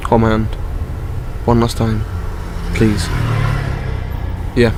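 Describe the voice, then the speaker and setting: A man's voice: on the low side, monotone, slow pace. A man playing an earnest, loyal, emotional companion in an audio drama, speaking plainly. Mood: tender, sad. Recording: clearly noisy, traffic-like background.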